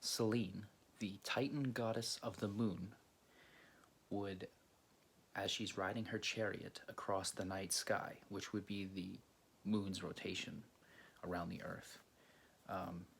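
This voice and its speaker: Low, gravelly man telling stories casually. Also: fairly even intonation, slow, pauses often, a bit bright, slight background noise. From Greece. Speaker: video host. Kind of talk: casual storytelling to camera or viewers.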